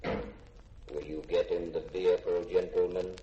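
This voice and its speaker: A man in a 1950s radio drama playing a polite, literal-minded robot servant who speaks formally, measured and deadpan. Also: low-pitched. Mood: formal, impassive. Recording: dull echoey noisy 1950s radio recording.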